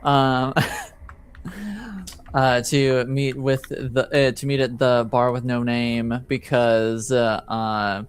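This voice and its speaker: A man narrating a tabletop game casually as game master, feeling his way haltingly through the story. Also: mid-range voice, clean tone.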